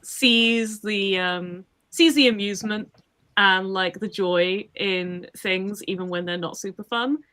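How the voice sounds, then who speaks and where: A woman's voice: medium pitch, slow, pushed and bright, smooth. A woman voice actor chatting in a casual Q&A over a video call, laughing often and poking fun at herself. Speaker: voice actor, guest. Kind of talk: casual livestream Q&A chat.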